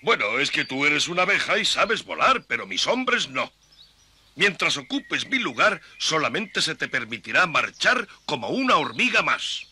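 Ant army colonel, piercing forceful tone, high pitch, courteous military manner, children's cartoon. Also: male voice, very animated, normal speed, breathy edge, exaggerated voice. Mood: formal. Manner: formal, military, barking commands. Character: stern but courteous ant colonel, adult male. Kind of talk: dubbed children's cartoon acting.

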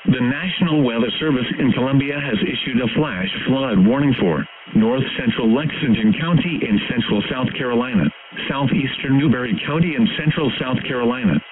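A synthetic-sounding man reads a formal, scripted emergency weather bulletin in a measured, impersonal manner. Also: medium pitch, crowd noise. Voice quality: synthetic text-to-speech sound. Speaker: weather alert announcer. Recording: tinny narrowband weather radio broadcast.

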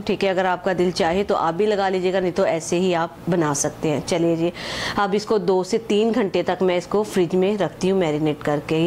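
A woman's voice: lowish voice, a little mellow, slight background noise. A woman, explaining a home recipe step by step in a chatty way and repeatedly pointing things out to the viewer. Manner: chatty, repeats "acha ji" and "ye dekhen", mixes English measures into Hindi-Urdu. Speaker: home cooking channel host. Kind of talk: casual step-by-step cooking instruction.

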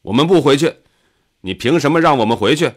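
A man voicing a defiant, rowdy factory worker in an audiobook, loud and challenging. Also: medium pitch, expressive, brisk, pitched above the performer's own voice, lightly acted. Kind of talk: audiobook character voice.

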